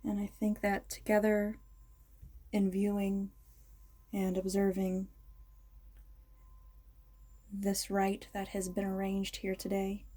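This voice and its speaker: Slow, mellow woman guiding meditation. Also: mid-range voice, monotone. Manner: ceremonial, measured, soothing. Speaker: guided meditation leader. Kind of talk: slow guided meditation, ASMR.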